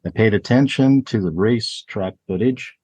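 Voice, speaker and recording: A man with a low, husky voice reads his film notes in a matter-of-fact, list-like way. The sound is dry and close, with no room echo. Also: moderate pace, darkish tone. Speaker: film discussion panelist.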